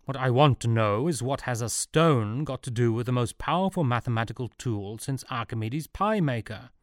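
A man's voice: lowish voice, normal speed, smooth, no room sound, studio quality, close to an everyday voice. A man voicing an imperious, jealous older scientist with a theatrical manner in an audiobook story. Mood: thundering outrage.

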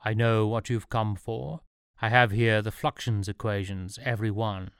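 A man plays an imperious older man of science in a storybook, jealous and theatrical. The voice is smooth and clearly articulated, in a dry, close recording. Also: on the low side, flat delivery, normal speed, a bit bright, no room sound. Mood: triumphant.

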